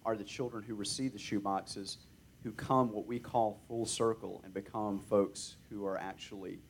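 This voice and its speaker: Man with a low voice introducing a guest, halting, lots of fillers, faint rumble. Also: steady tone. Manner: hesitant, many fillers. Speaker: ministry representative introducing a guest. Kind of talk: introductory remarks.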